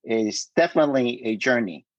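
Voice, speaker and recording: Middle-aged interview guest from Vietnam, Cantonese first language, hesitant and fillers-heavy, muffled dry audio. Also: male voice, mid-range voice, animated, no room sound. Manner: halting, many fillers and restarts, light laughs. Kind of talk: casual interview storytelling.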